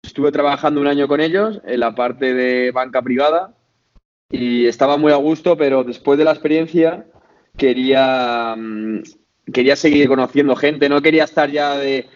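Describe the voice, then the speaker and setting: A man's voice: medium pitch, fairly even intonation, moderate pace, lo-fi sound. A man in his late twenties from Madrid with a Spain Spanish accent, a podcast guest talking casually with many fillers and restarts. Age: 20s. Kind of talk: casual interview chat.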